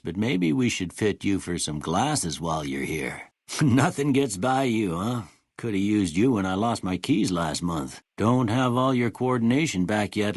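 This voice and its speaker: A man playing a kindly, folksy old frontier doctor in a video game, with a husky voice and an unhurried American Western drawl. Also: on the low side, no room sound, clean studio sound, heavily acted. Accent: American Western or Southern drawl. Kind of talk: scripted game dialogue.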